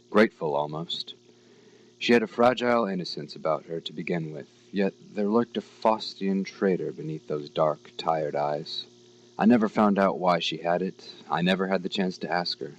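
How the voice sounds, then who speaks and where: Male horror narrator, very low register, literary dramatic recitation, slight hiss. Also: dull audio. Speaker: story reader. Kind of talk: scripted horror story narration.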